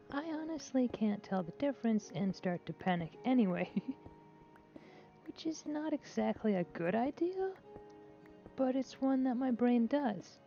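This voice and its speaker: A woman's voice: medium pitch, vocal fry, dark tone. A woman vlogging casually while she plays a game, talking in a rambling, hesitant way with many restarts and filler words, candid and self-deprecating. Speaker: gaming vlogger. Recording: dull lo-fi mic with faint noise.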